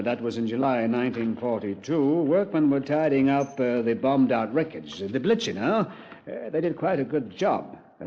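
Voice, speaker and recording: A man's voice: mid-range voice, lightly acted. Casting: male actor as British senior policeman, genial, understated, chatty, radio drama, dull vintage sound. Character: genial British police commander showing grim exhibits. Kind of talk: 1950s radio drama acting. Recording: muffled vintage radio recording.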